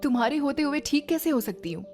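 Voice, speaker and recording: A woman's voice: sits high, lively intonation, fast talker, a little mellow, faint music behind. A woman playing a proud young businesswoman in an audio drama, with an earnest, emotional delivery. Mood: cold, scornful. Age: young adult. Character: proud young businesswoman, anxious granddaughter, cold toward husband. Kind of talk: Hindi audio drama acting.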